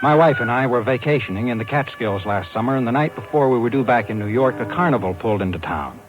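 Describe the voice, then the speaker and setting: A man's voice: medium pitch, lively intonation, fast talker, natural voice. An actor playing a self-assured American booking agent who confides his regretful tale in a 1950s radio suspense drama. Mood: regretful, wry. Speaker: actor in lead role. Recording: dull 1950s radio recording, music behind.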